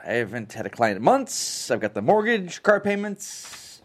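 A man's voice: mid-range voice, very animated, moderate pace. A man playing a worried, hard-up father with a fretful manner in a comedy script table read. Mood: anxious.

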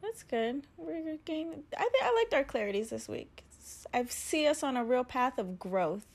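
Young woman, lively higher voice with creaky edges. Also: moderate pace. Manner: informal, reactive, prompting with questions. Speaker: podcast co-host. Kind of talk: casual chatty podcast conversation.

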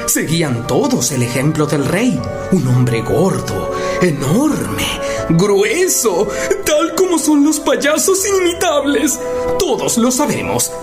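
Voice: mid-range voice, very animated, slow pace, music in the background. An actor playing a theatrical jester storyteller who relishes a gruesome tale in a radio play, dramatic and given to chuckling. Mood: amused, mocking.

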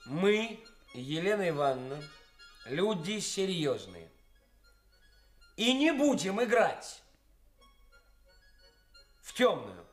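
Highly animated young theatrical schemer. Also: male voice, exaggerated voice. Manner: theatrical, declamatory, self-important. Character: young male actor posing as a brazen, cynical bridegroom. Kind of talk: radio play acting. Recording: radio play recording with music.

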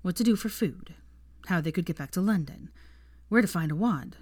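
Female narrator, lower register, expressive audiobook read of fiction, very dry close recording. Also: normal speed, dry recording.

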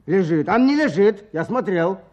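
A man's voice: high voice, lively intonation, moderate pace, a little mellow. An actor playing a stubborn, grumbling village fisherman in a radio drama, blustery and repeating his words. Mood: irritated. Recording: dull muffled radio play recording.

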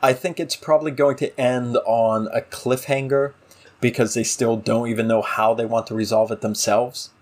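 A man's voice: medium pitch, flat delivery, moderate pace, darkish tone. Opinionated man in casual discussion. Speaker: podcast co-host.

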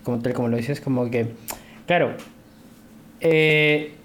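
A man with a Peruvian accent chatting casually on a podcast in an animated, rambling, slangy way. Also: medium pitch, unhurried. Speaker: podcast host.

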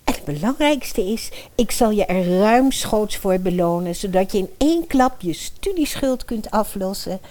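A woman's voice: mid-range voice, expressive, normal speed, few pauses, a little mellow, lightly acted. A woman playing a cold, scheming, murderous stepmother in a fairy-tale radio play, calculating and persuasive as she gives instructions.

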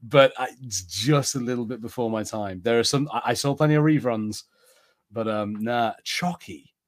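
A man's voice: medium pitch, moderate pace. Man in rambling casual podcast chat. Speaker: podcast co-host. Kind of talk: casual chat, reading out viewer comments.

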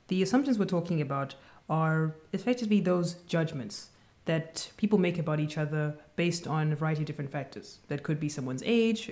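A woman co-hosting a casual podcast chat, speaking in a calm, measured and reserved way. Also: deep voice. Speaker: podcast co-host.

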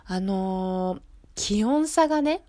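A young woman hosts a radio show in a polite, chatty way. Her voice sits at a middling pitch and sounds slightly nasal, as if stuffed up. The sound is close and dry, with very little room in it. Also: animated, slow, mellow, no echo. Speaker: radio host. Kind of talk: casual local radio show talk. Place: radio studio.

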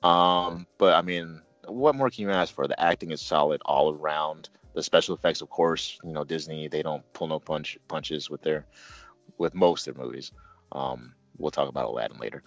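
A man's voice: very deep voice, normal speed, creaky, a bit bright, no room sound, muffled recording, faint music behind. A man hosting a casual movie review, talking in a joking, rambling way.

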